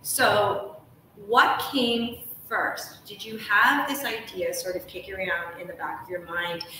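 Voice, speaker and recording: A woman's voice: mid-range voice, steady tone, a little slow, sharp tone. Gushy woman interviewer, thirties, live show, super echoey big room, bit of background noise. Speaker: host interviewing an author. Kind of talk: live interview, upbeat introduction. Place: large ornate hotel room, indoors. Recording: very echoey room, distant mic, faint noise.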